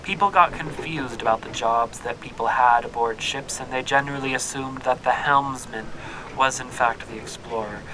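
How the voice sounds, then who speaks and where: An actor playing a wry, deadpan storyteller narrating a fantasy audio drama in formal wording. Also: male voice, close to an everyday voice. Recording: clear background noise and rumble.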